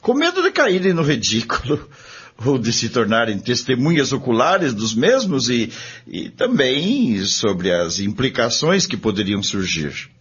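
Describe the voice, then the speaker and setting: A man acting as a Brazilian radio narrator recounts spiritist history to companions. His voice is husky and moves up and down expressively. The recording is a bit dull and lo-fi. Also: mid-range voice, huge pitch swings, unhurried, a bit bright, exaggerated voice. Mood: conversational, explanatory. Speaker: radio drama narrator and discussant. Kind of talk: scripted radio drama narration in dialogue form. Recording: dull, lo-fi audio.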